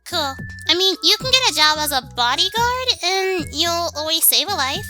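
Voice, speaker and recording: A woman's voice: extremely high pitch, animated, moderate pace, over music. A woman chatting casually as a co-host. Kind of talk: casual chat.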